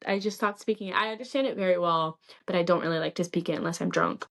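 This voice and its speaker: A woman's voice: lowish voice, fast, gravelly. Candid young woman telling her story. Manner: candid rambling storytelling, many "like" fillers. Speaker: podcast guest. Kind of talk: casual chat.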